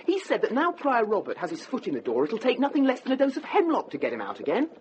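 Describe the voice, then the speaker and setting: A man's voice: very high-pitched, wildly swooping pitch, quick pace, highs cut off, slight background noise, heavily acted. A male actor playing a young, gossipy novice monk in a radio drama, eager and indignant. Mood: excited indignation.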